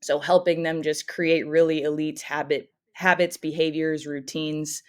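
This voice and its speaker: A young American woman raised in the Midwest, a softball coach talking casually and cheerfully as a podcast guest, with frequent "um" fillers. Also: low-pitched, level tone, a little slow. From United States (grew up in St. Louis, Missouri). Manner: friendly, upbeat, frequent "um" fillers. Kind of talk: casual interview chat.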